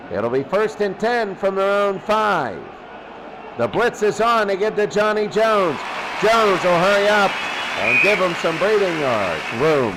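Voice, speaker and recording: A high-voiced man works as a football play-by-play announcer on radio. He delivers plays and statistics at a slow, deliberate pace. Clear noise runs behind his voice. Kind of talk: live football commentary and stat recaps.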